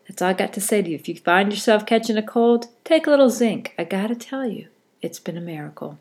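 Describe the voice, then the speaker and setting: A woman's voice: mid-range voice, expressive, quick pace, a little mellow, husky. A middle-aged woman with a stuffy nose from a cold, hosting a solo podcast in a casual, rambling way and often correcting herself. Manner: chatty and rambling, with self-corrections. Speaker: podcast host.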